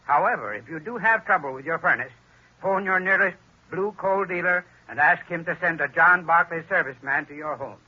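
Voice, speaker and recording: A man reading a scripted radio advertisement in a measured, instructive way. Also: fairly high pitch, sharp tone, breathy edge. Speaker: sponsor spokesman. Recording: dull lo-fi old radio recording.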